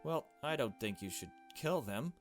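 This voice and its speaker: A man's voice: medium pitch, animated, normal speed, soft background music, lightly acted. A man voicing a meek, earnest young woman from a visual novel, hesitant and polite. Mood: hesitant, pleading. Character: meek, earnest young woman who loves small animals. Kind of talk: visual novel dialogue read aloud.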